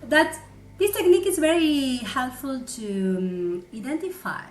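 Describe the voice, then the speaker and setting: A woman's voice: high-pitched, lively intonation, unhurried, echoey room, slight background noise. Argentine-accented woman giving a webinar talk. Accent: Argentine Spanish. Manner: explanatory, often checks in with "yes?" and "right?". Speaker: psychologist presenting a webinar. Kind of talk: webinar presentation.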